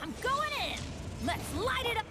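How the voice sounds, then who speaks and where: A spirited young fantasy-game fighter, very high-pitched and lively in intonation. The battle cries come short and punchy, under loud game music. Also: female voice, normal speed, exaggerated voice. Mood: fired up. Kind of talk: video game battle voice acting.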